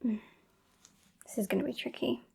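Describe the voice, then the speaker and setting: Female performer as haughty, teasing British vampire woman, ASMR roleplay. Soft whispery delivery, dark mellow tone, creaky edges, dry and bossy. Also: on the high side, steady tone, moderate pace, heavily acted. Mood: bossy, musing. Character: sassy, bossy female vampire, over a century old.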